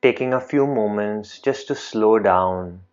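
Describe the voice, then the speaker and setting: A man leading a guided meditation in a calm, gentle, unhurried manner, leaving long pauses between phrases. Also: fairly low pitch, clean tone, no echo, highs cut off.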